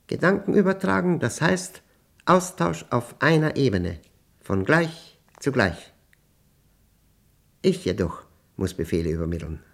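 A man acting an obsessive, unravelling professor who dictates his diary in a German radio play, with emphatic, deliberate diction and short clipped phrases. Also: mid-range voice, animated, gravelly, fairly bright tone, full character voice. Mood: commanding, obsessive. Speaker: radio drama actor.

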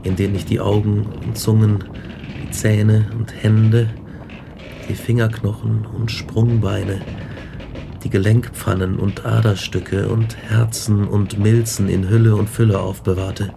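A man's voice: lowish voice, level tone, measured pace, vocal fry, background noise. An actor playing an obsessive, haunted scientist in a radio drama, brooding intensely and dwelling on his words. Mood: brooding fascination. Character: obsessive, haunted male scientist recounting his grisly experiments. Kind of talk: radio drama acting and narration.

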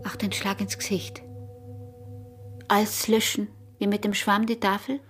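An actress playing a scheming, defiant peasant wife in a radio drama, with an Austrian accent and a taunting, often hushed delivery. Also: female voice, mid-range voice, flat delivery, a little slow, natural voice. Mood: mocking.

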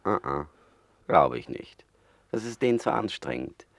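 A man's voice: low-pitched, big pitch swings, measured pace, vocal fry, no room sound, heavily acted. A man playing a soothing but scornful companion in a German radio play, reassuring and sardonic.

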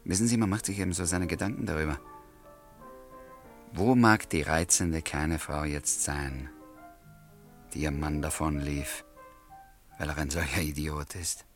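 A man's voice: extremely low pitch, fairly even intonation, measured pace, pauses often, soft background music. An actor playing a wry, courteous male writer who narrates and talks in a German radio play. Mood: soft, earnest.

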